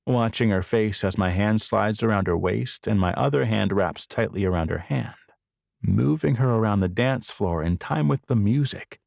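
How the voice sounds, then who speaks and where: A man reads a romance audiobook in the first person. His voice sits on the low side with plenty of creak, and the recording is dry and close. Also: moderate pace, darkish tone, dry recording. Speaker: audiobook narrator.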